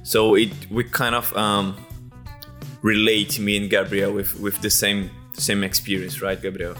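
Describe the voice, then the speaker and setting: Flat-voiced man, hesitant questions. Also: on the low side, unhurried, faint music behind. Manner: hesitant, searching for words. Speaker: host. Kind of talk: casual interview questions.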